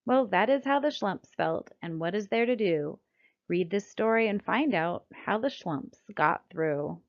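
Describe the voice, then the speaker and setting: A middle-aged American woman reads a scripted text aloud as a children's author, in a deliberate, expressive storytelling manner. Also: medium pitch. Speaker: children's book author reading her own book. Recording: dull, lo-fi sound.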